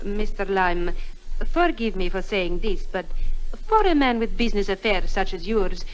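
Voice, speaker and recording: A woman's voice: medium pitch, expressive, moderate pace, faint music behind, natural voice, poor audio. Earnest young Mexican woman played by an actress in radio drama. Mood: polite, cautious. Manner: formal, careful, uncontracted phrasing. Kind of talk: 1950s radio drama acting.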